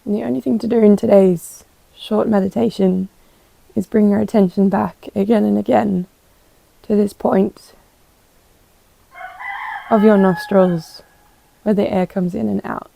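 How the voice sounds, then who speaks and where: A woman guiding a meditation as a yoga teacher, calm and gentle, giving unhurried instructions with pauses. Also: medium pitch, vocal fry, no echo, low-quality recording. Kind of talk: guided meditation.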